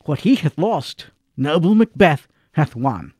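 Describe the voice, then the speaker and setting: A man's voice: fairly high pitch, big pitch swings, measured pace, darkish tone, breathy edge, dry recording, studio quality, full character voice. An actor playing a noble, commanding king in a Shakespearean radio drama, declaiming regally. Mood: exultant, regal. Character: noble, commanding king of a senator-turned-ruler.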